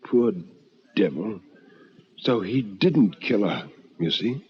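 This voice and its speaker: A man voicing a shaken but compassionate male companion in a radio ghost story, speaking in a hushed, halting, earnest way. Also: lowish voice, big pitch swings, husky, highs cut off, pitched below the performer's own voice, exaggerated voice. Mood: shaken, sorrowful.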